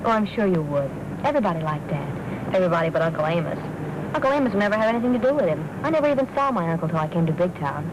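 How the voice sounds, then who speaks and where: An actress playing a self-possessed young cub newspaper reporter in 1940s film noir style. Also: female voice, fairly low pitch, sing-song, racing, noisy background. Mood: wistful. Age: young adult. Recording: 1940s film soundtrack with music behind.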